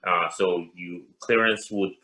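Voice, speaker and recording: A man, a pastor, joining the interview and calmly explaining things and completing his wife's answers. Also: deep voice, slow pace. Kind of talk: casual chat.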